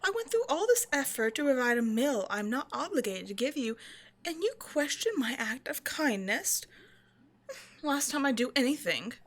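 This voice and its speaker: A woman's voice: high voice, sing-song, normal speed, fairly bright tone, full character voice. A woman voicing a haughty vampire scientist in an audio roleplay, with a playful, condescending, mock-sweet delivery. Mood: mock hurt. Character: female vampire scientist, teasing, haughty captor. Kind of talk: scripted ASMR roleplay monologue addressed to the listener.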